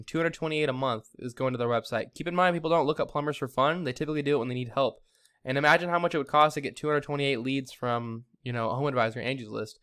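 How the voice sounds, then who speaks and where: A man's voice: fairly high pitch, a bit bright, clean tone. A man giving a casual, chatty and upbeat screen-share tutorial to a client, like a consultant reviewing a website. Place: screen recording voice-over.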